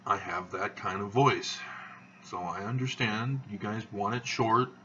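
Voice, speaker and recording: A man hosting his own video channel, talking in a slow, halting monotone with many fillers as he rambles. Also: fairly low pitch, distant mic, dull audio, a little hiss or hum. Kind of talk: rambling monologue to camera.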